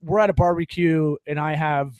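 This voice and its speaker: A man's voice: on the high side, steady tone, moderate pace, darkish tone, smooth, dry recording. A man hosting a casual podcast chat, rambling and swearing with many restarts. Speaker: podcast host.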